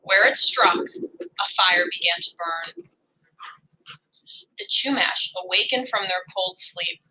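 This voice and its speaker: A woman reading a legend aloud in an expressive storytelling style. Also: on the low side, slow, pauses often, slight background noise, low-quality recording. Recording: music behind the voice. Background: music.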